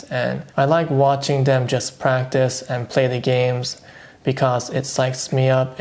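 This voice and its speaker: A man with a gravelly, creaky voice chats on his own about what he's been watching. His delivery is flat and unhurried, low-key, with the odd dry aside. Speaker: vlogger reviewing streaming shows. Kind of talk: casual solo commentary.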